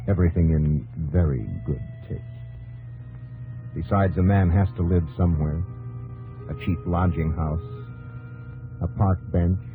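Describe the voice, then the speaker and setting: Deep-voiced male radio drama narrator. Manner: clipped, dramatic, wry. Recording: dull old radio broadcast, music behind.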